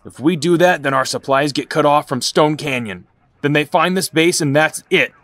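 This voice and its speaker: A man's voice: on the high side, moderate pace, a bit bright, no echo, close to an everyday voice. A man acting in an audio drama as a sincere, remorseful young team fighter, speaking earnestly. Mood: concerned.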